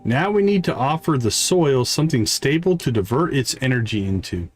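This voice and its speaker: A man voicing an earnest, scholarly game wizard, reading the dialogue in a formal, deliberate way. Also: mid-range voice, animated, faint music behind, natural voice. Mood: grave. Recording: clear background noise.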